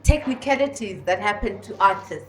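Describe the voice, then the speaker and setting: A woman's voice: medium pitch, lively intonation, moderate pace. A woman with a South African accent, a guest on a podcast, talking bluntly and laughing often. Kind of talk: casual chat. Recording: clear background noise.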